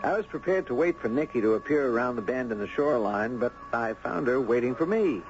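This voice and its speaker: A man playing a gentle, earnest character in a 1970s radio drama, speaking in a measured, literate way. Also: mid-range voice, animated, close to an everyday voice. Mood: reflective. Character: gentle, earnest man drawn into a tragic tale. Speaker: radio drama actor and narrator. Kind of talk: 1970s radio drama acting, first-person narration. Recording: muffled 1970s radio broadcast, music behind.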